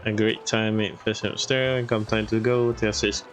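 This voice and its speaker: A man's voice: on the low side, flat delivery, unhurried, faint music behind. A man streaming a video game, giving casual commentary in terse, offhand reactions.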